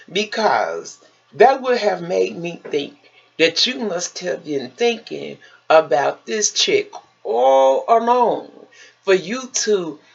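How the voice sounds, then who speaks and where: A woman vlogger telling stories in a casual, animated style, emphatic and theatrical, often acting out conversations and throwing out rhetorical questions. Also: mid-range voice, creaky, husky, muffled recording.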